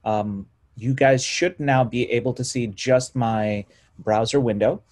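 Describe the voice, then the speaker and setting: A man's voice: medium pitch, steady tone, measured pace, a little mellow. A man presenting a live screen-share coding tutorial on a video call, informal and self-correcting as he talks. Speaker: meetup presenter.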